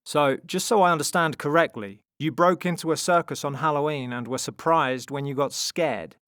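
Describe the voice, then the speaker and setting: A man's voice: on the high side, normal speed, fairly bright tone, smooth, no room sound, pitched above the performer's own voice, lightly acted. A man voicing a teasing, amused older brother in a storybook, with a mocking manner.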